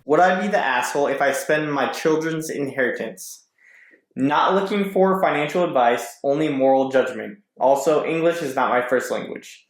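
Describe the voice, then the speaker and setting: A man's voice: fairly high pitch, normal speed. A man guesting on a podcast, reading posts aloud and chatting casually with some hesitant stumbles. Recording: roomy echo.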